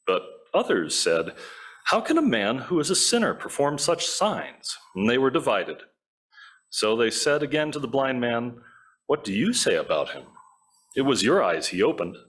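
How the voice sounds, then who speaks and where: A man's voice: medium pitch, sing-song, moderate pace, fairly bright tone. A man reading scripture aloud in a church service as a lay reader.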